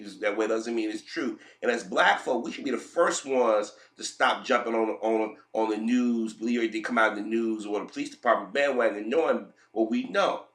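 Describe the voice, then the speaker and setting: A man talking while getting over a head cold. He delivers an emphatic, rambling commentary, repeating himself and restarting his sentences. His voice sits in a middle pitch and rings in an echoey room. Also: fairly bright tone. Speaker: commentary vlogger. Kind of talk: opinionated commentary.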